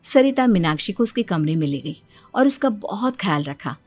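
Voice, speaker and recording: A woman's voice: medium pitch, big pitch swings, moderate pace, a little mellow. A woman giving scripted narration for a cartoon family story as its storyteller. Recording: music bed behind the voice.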